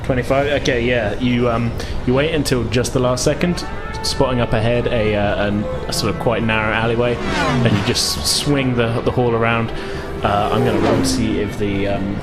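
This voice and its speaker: A man's voice: medium pitch, steady tone, moderate pace, hardly any pauses. A man running a tabletop game as game master, improvising descriptive narration in an informal, hesitant way. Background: loud music, vehicle sounds.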